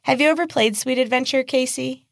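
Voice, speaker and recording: A sinister little girl in a fantasy storybook. The voice jumps up high into a shrill, childish whine, gushing with overdone enthusiasm. It is recorded close and dry. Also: fairly quick, creaky, no echo. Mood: sweetly sinister. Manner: over-the-top childish enthusiasm. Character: evil being posing as a bubbly little girl.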